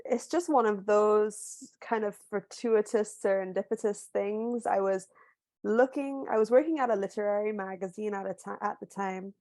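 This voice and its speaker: A woman from Barbados, an author, talking through a video call. She tells her story thoughtfully with small restarts and sounds a little hoarse from a cold. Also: medium pitch, fairly even intonation, normal speed, darkish tone, no room sound. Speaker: author guest. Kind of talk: casual book club video call conversation.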